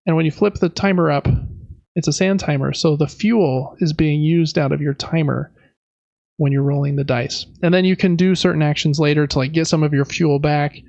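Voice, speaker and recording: Man, podcast co-host, slightly high creaky voice, casual show-and-tell, hesitant informal delivery, dull dry recording. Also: fairly even intonation, normal speed, darkish tone, no echo. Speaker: podcast co-host reviewing board games. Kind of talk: casual show-and-tell chat about board games.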